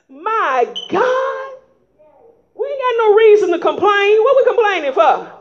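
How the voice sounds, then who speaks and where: A woman preacher with a strikingly high voice delivers a sermon in plain folksy speech. She takes her time and keeps prodding the congregation with calls of "huh?" as she rises into shouts. The recording is dull and lo-fi. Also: sing-song, takes it slowly. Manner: emphatic call-and-response preaching with frequent "huh?" prompts; folksy vernacular, rising to shouts.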